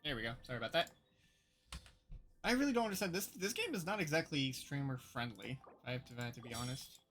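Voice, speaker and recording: A game streamer giving casual live commentary in an expressive, chatty way. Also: mid-range voice, fast talker. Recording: game music behind the voice. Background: video game music.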